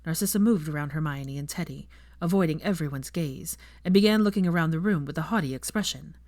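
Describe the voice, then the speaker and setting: Female story narrator, scripted reading, lowish pitch, dry close recording. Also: quick pace, a little mellow, dry recording. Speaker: fan fiction narrator.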